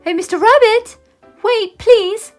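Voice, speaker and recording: A woman's voice: very high-pitched, slow pace, faint music behind, pitched above the performer's own voice. A British woman voicing a curious, polite young girl in a storybook, wondering and exclaiming to herself. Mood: puzzled, eager.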